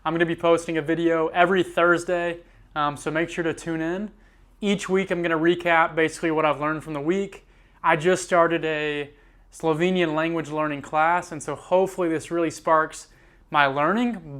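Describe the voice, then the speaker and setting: An easygoing young man from the United States talks straight to camera about his week as a student. His voice sits a little high and comes across smooth and clear. He speaks with an earnest, unhurried manner, slowing down to repeat words he finds hard. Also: steady tone, fairly bright tone. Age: young adult. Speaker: student vlogger learning a language. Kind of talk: casual vlog to camera.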